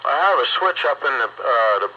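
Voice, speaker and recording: A man's voice: mid-range voice, expressive, fairly quick. A man chatting casually over hobby radio, using folksy radio lingo. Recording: dull, very noisy radio transmission. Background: loud radio noise.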